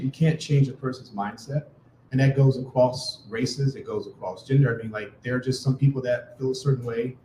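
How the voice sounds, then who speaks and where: A man's voice: mid-range voice, dark tone, a little hiss or hum. A man speaking casually as a panelist, filling his talk with "you know" and "right". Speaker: panelist entrepreneur. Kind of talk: panel discussion answer. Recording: echoey room.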